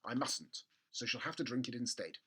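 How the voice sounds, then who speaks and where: A man's voice: medium pitch, expressive, normal speed, sharp tone, breathy edge. A man voicing a mischievous young storybook boy, scheming to himself with relish. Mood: gleeful, resentful.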